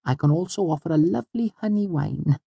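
A tiny waif of a girl in an audiobook, voiced by a man, pitched high and sounding close and dry. She is whimsical and gentle, speaking with grave, wondering precision and softly chiding earnestness. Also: brisk, vocal fry, slightly rough, no echo, dull audio. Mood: earnest, gentle. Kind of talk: audiobook character voice.

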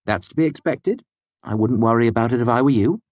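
A man's voice: brisk, a little mellow, no echo, lightly acted. A man playing a sly fey helper. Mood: breezy. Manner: low and fierce, then breezy and cheery.

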